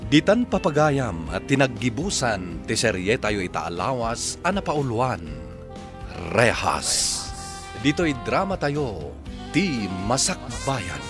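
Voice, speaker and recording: A man's voice: medium pitch, big pitch swings, slow. A man reading scripted introductions and credits as a radio drama announcer. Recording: loud music behind the voice.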